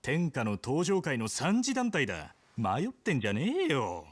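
An actor playing a brash, ambitious young yakuza in a video game cutscene, with rough, blunt, goading speech. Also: male voice, mid-range voice, huge pitch swings, a little slow, heavily acted. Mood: pushy, impassioned.

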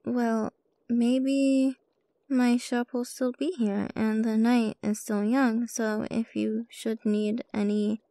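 A woman playing a sly, playful witch shopkeeper with a soft heart in an ASMR roleplay, coy and teasing as she addresses the listener. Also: on the high side, flat delivery, takes it slowly, no room sound. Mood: kindly, brisk. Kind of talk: ASMR roleplay, scripted character monologue.

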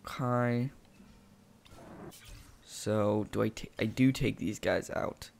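A man casually commenting as he plays a video game, chatty and talking himself through each move, his voice gravelly after just waking up. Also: fairly low pitch, slow, a little mellow, a little hiss or hum. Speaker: gameplay commentator. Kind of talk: casual let's-play commentary. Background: game music and sound effects.

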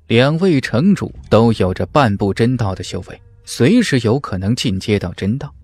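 Man narrating a fantasy audiobook with lively pitch. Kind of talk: dramatic scripted narration of a fantasy novel.

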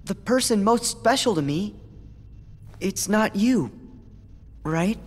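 A man's voice: sits high, lively intonation, slow pace, big-room sound, exaggerated voice. An actor playing an earnest, kind-hearted teenage boy hero in a video game, sincere and easily bewildered. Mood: confused.

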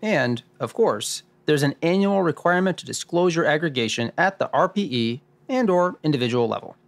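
A man's voice: fairly high pitch, expressive, moderate pace, creaky. A man playing a wry, wordy tax sleuth who narrates his own thoughts in a noir radio drama pastiche. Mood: matter-of-fact. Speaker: host and narrator.

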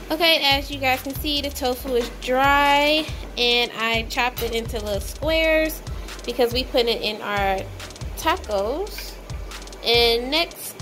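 A woman's voice: high-pitched, fairly bright tone. A woman in her twenties chatting informally to viewers as she cooks in a home kitchen, recorded on a handheld camera. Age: 20s. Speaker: home cooking vlogger. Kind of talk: casual cooking vlog chat. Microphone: handheld camera at arm's length.

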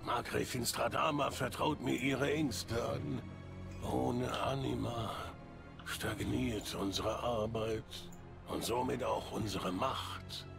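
Gravelly, brooding plague alchemist. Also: male voice, mid-range voice, steady tone. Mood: grave, wary. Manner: solemn, theatrical, deliberate. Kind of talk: scripted fantasy game acting. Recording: game audio with music.

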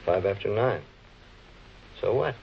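A man's voice: lowish voice, animated, measured pace, gravelly, slight background noise. A man playing a defensive chauffeur in a 1940s television crime drama, talking in a casual, streetwise, evasive way. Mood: evasive, nonchalant. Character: slangy, defensive male chauffeur under police questioning. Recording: muffled old film soundtrack.

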